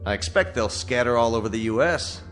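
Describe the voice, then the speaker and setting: An actor playing a reasonable military colonel in a radio drama, polite and probing in a measured way. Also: male voice, mid-range voice, expressive, a bit bright, soft background music, natural voice. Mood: genial. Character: courteous, reasonable military colonel commanding a rescue spaceship. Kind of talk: radio drama acting, conversational.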